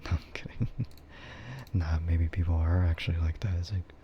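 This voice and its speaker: A soft-spoken man streaming casual, rambling ASMR, often slipping into a half-whisper close to the microphone. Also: low voice, level tone, moderate pace, dull audio, slight background noise. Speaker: VTuber livestreamer.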